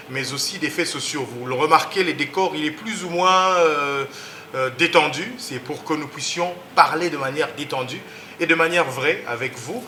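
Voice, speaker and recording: Bright-voiced man hosting, roomy studio. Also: slight background noise. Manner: emphatic, rises in pitch on key words, hesitant fillers. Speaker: TV talk show host. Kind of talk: TV talk show interview.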